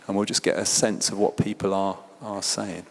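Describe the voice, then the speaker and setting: A man's voice: lowish voice, level tone, moderate pace, creaky, in a large hall, a little hiss or hum. A man presenting at a conference, talking loosely and chattily as he reads out audience poll answers. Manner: loose and chatty, with many fillers and restarts. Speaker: presenter at an event.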